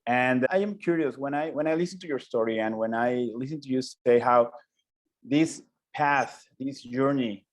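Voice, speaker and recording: Earnest man asking halting questions. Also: mid-range voice. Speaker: interview host. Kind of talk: interview questions.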